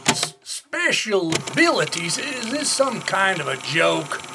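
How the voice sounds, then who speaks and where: An actor playing a blustery, skeptical American colonel with a raspy voice in a Cold War radio play. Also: male voice, high voice, sing-song, slow, background noise, heavily acted. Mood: incredulous.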